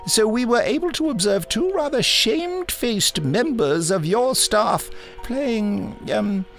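A man's voice: high-pitched, animated, slow, gravelly, a bit bright, soft background music, voice pushed up, exaggerated voice. A man voicing a self-satisfied, sly professor in an audiobook story. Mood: smug. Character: self-satisfied, smug male professor inventor. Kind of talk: audiobook character acting.

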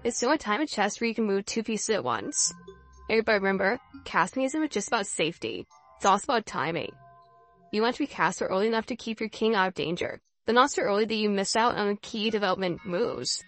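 A woman's voice: mid-range voice, animated, fast, faint music behind. A woman voicing a friendly, encouraging schoolgirl who leads a chess class in a visual novel, in an instructive manner. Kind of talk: reading visual novel dialogue aloud.

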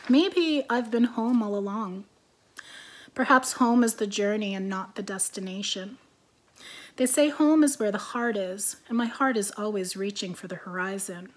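A woman in her forties, whose first language is French, introduces and reads her own lyric essays in a calm, measured voice from her living room, her phrase ends trailing off quietly. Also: mid-range voice. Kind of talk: reading lyric essays aloud. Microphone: laptop camera, webcam framing.

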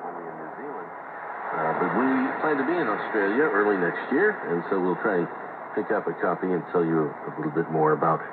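American male radio host, scripted announcing, animated intonation, thin shortwave sound. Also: deep voice, brisk. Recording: noisy, phone-like shortwave radio reception.

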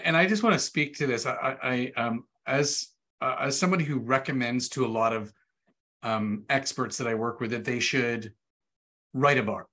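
A man in his forties with a medium-pitched voice hosts an online webinar. He talks conversationally and haltingly, often doubling back on words, over a video call from an indoor room. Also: a bit bright. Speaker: webinar host. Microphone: computer video call.

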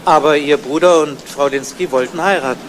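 Actor as a probing detective, higher-pitched, noisy. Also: male voice, brisk, close to an everyday voice. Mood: insistent. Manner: hesitant, casual probing with ums and trailing questions. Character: probing, polite but persistent police investigator. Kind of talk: 1970s German crime radio play acting. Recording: noisy old radio play recording.

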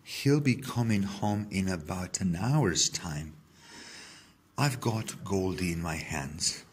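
A man reading a children's story aloud in the first person, with deliberate, dramatic phrasing. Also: low voice, animated, slightly rough. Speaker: story reader.

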